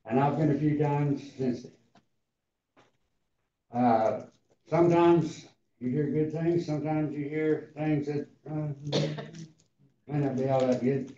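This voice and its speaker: A man in his eighties with a raspy, tender voice, preaching and slowly reading scripture to a congregation. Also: a little high, dark tone, a little hiss or hum. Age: 80s. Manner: slow, deliberate, halting reading. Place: large church hall before a seated congregation. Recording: roomy, distant, dull hall sound.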